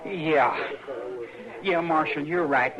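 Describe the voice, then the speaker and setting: An actor playing a scared, shifty young drifter in a 1950s radio drama, stammering and hesitant, with rural grammar. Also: male voice, on the high side, slow. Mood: nervous. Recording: lo-fi old radio broadcast, saloon crowd behind.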